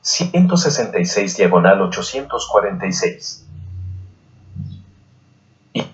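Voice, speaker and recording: Man, audiobook reader, lowish voice, scripted historical narration, dull lo-fi recording. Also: sing-song, unhurried.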